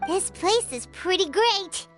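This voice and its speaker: A woman's voice: extremely high pitch, takes it slowly, soft background music, heavily acted. A woman voicing a small, perky, chatty non-human companion in a video game who speaks of herself by name. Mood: eager. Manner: excitable, talks of herself by name. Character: tiny floating female companion, perky, chatty.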